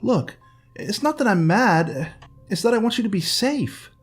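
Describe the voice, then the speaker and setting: A man voicing a brisk, capable woman housekeeper who speaks firmly and matter-of-factly. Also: high voice, lively intonation, slightly rough, soft background music, voice pushed up, exaggerated voice. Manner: firm, matter-of-fact.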